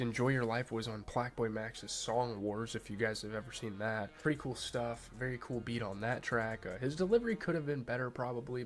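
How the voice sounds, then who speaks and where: A young man with a stuffy, nasal voice as he hosts a casual album review, talking loosely with lots of fillers and restarts. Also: mid-range voice. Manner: loose and excited, many fillers and repeated starts. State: congested, nasal. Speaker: music reviewer, channel host.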